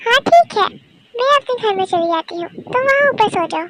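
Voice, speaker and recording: Little female ant, extremely high-pitched, childlike, exclaiming, kids' cartoon, noisy recording. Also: animated, normal speed, fairly bright tone. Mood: friendly. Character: tiny friendly female ant, timid of heights. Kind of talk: children's cartoon story dub. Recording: clearly noisy cartoon soundtrack.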